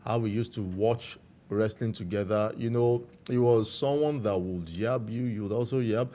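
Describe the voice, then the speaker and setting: Low-voiced Nigerian man telling his story. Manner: measured, warm storytelling with frequent "you know". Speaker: guest. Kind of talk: reflective interview storytelling.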